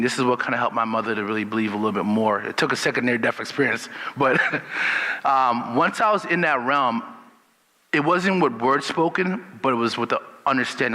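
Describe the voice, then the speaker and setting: A man from Liberia telling a personal story in a relaxed, unhurried storytelling manner. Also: mid-range voice, long reverb tail. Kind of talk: personal testimony.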